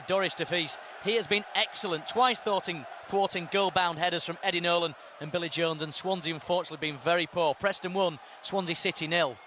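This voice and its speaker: Bloke giving live football update, tense high voice, faint background noise. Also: male voice, bright, forceful tone. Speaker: football reporter. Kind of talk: live match report.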